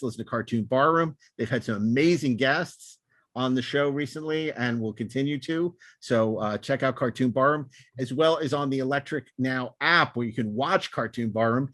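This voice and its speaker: A man's voice: medium pitch, normal speed, fairly bright tone, dry recording. A man hosting a podcast in casual, enthusiastic chat, rambling with repeated words and stop-start phrasing. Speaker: podcast host.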